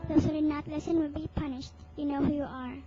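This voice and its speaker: A young woman reads scripted credits in a high voice. She is a student announcer. The recording is dull, and loud music plays behind her. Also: steady tone, fast talker.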